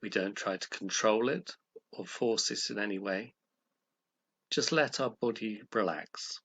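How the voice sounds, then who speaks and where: A man leading a guided meditation, speaking in a calm, gentle, measured way with pauses between phrases. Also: lowish voice, husky.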